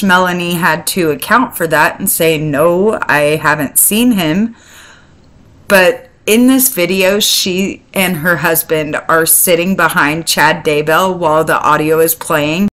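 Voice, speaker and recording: A woman's voice: lowish voice, fairly bright tone. A woman narrating in an explanatory true crime style.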